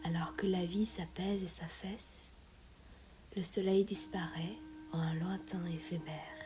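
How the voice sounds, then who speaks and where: A woman reciting a meditative poem in a soft, breathy, often whispery voice, measured, with long pauses between phrases. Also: fairly low pitch, little pitch movement, background noise. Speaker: poet reciting own poem. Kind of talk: slow meditative poetry recitation. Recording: music and singing bowls behind voice. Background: meditative music with singing bowls.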